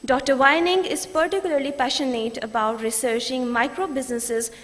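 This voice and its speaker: Woman, conference host, high voice, formal reading, long hall reverb. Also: fairly even intonation, a bit bright. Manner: formal, measured. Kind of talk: reading speaker introductions.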